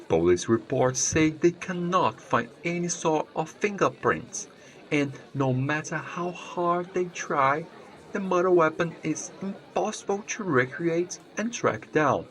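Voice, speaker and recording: Casting: male actor as audio drama news reporter, formal and measured, fairly high voice, lively intonation. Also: poor audio. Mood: grave, formal. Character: male news reporter giving grave murder updates. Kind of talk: scripted news bulletin acting.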